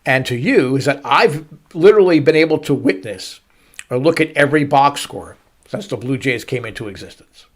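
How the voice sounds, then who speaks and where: A middle-aged man hosting a baseball show, telling a story to listeners with emphatic, dramatic pauses and stretched-out words. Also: mid-range voice, expressive. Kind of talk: storytelling sports commentary to listeners.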